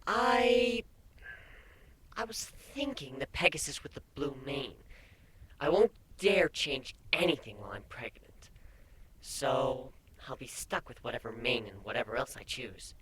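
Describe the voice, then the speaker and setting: A woman's voice: a bass voice, lively intonation, unhurried, fairly bright tone, exaggerated voice. A woman playing a playful, affectionate female changeling pony in a dramatic fan fiction reading, with a casual, teasing delivery. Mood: thoughtful. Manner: teasing, casual, hesitant in places.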